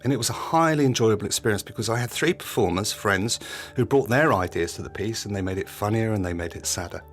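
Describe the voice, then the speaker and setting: A man's voice: on the low side, fast, faint music behind. A man hosting a podcast, delivering a scripted, confiding monologue in a reflective, plain-spoken way. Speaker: podcast host.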